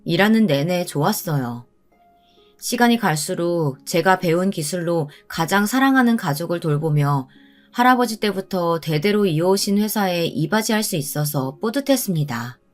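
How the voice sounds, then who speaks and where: A woman's voice: on the low side, animated, normal speed, faint music behind. A woman reading a scripted first-person story as its narrator.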